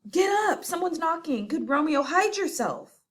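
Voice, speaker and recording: A woman's voice: mid-range voice, expressive, measured pace, fairly bright tone. A woman voicing a stern, fatherly older male friar who scolds and advises in a scripted audio drama. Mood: urgent. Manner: scolding, urgent, lecturing. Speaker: voice actor.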